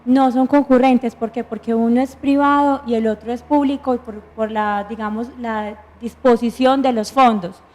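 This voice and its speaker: Methodical woman, somewhat high voice. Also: steady tone, normal speed, few pauses, a little mellow. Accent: Colombian Spanish. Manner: patient, methodical, lists points and answers her own questions. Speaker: lawyer guest explaining pensions. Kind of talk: explanatory studio talk.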